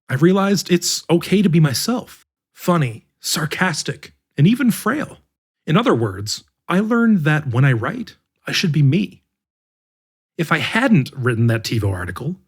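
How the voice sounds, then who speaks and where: Husky man, lively narration. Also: fairly high pitch. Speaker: podcast narrator reading an article. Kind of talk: scripted narration.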